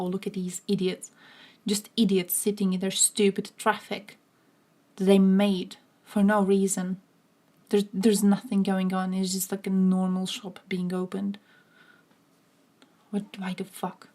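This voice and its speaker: A woman's voice: mid-range voice, darkish tone. A young woman from Croatia, chatting on a livestream in a blunt, sarcastic, swearing manner. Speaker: streamer. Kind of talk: casual livestream chat. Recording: music playing in the background. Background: music playing.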